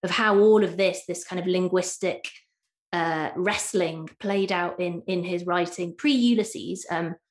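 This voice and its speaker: A woman's voice: lowish voice, a little slow. Stammering woman interviewer in an echoey room. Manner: hesitant, stammering repetitions. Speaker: interviewer host. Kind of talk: interview questions.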